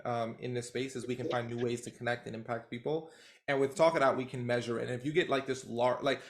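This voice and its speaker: Gravelly-voiced man coaching a group. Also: medium pitch. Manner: animated, rambling, with many fillers. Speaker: trainer leading a group call. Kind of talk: coaching on a group video call.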